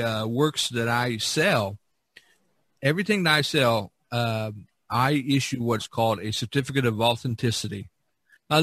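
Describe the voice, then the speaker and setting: A man's voice: medium pitch, a bit bright, no echo. A man chatting casually on a podcast, explaining things in a rambling way with many "you knows". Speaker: artist on a podcast.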